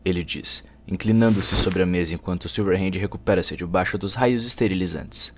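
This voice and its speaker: A man's voice: low voice, moderate pace, a little hiss or hum. A man with a Brazilian accent narrating a cyberpunk short story as a scripted, dramatic audio production. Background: faint music.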